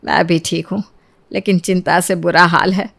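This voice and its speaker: A woman's voice: on the low side, little pitch movement, normal speed, creaky, a bit bright. A woman voicing an anxious, bewildered middle-aged mother-in-law in a story, polite and fretful. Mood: worried.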